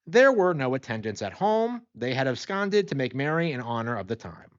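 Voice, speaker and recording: A man's voice: mid-range voice, big pitch swings, normal speed, dull audio. A man narrating a story as an audiobook reader in a dramatic, scripted style.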